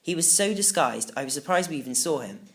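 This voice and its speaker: A man reading a children's audiobook in the first person, with expressive, emphatic scripted storytelling. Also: fairly high pitch, a little fast. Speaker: audiobook narrator.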